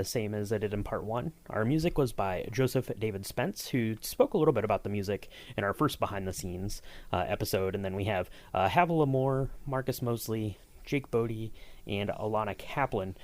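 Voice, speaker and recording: A man hosting a casual behind-the-scenes podcast chat, asking questions in a halting, rambling way. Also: fairly low pitch. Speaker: podcast host and sound designer.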